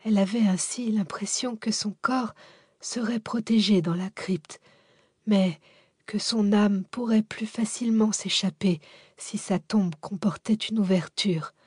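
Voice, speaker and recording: A man's voice: high-pitched, a little mellow, dry recording, clean studio sound, voice pushed up. A woman voicing an old widowed benefactor, weakened and whispery, confiding secrets with pride and tenderness. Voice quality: whispery, breathy, faint.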